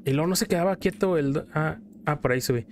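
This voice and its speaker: A man's voice: fairly high pitch, fast, faint music behind. A man with a Mexican accent telling a story in casual, colloquial style while commenting as a gameplay host. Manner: colloquial, acts out quotes in passing.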